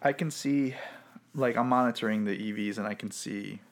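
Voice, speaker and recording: A young man co-hosting a podcast in casual chat, eager and quick to jump in. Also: on the low side. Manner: eager, jumps in and talks over. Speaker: podcast co-host. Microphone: podcast microphone.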